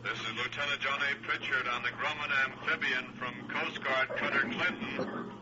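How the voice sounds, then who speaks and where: An actor playing an eager, daring Coast Guard pilot, with clipped calls over a radio in 1940s drama style. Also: male voice, medium pitch, fairly even intonation, normal speed. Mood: hopeful. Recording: muffled, noisy old radio broadcast.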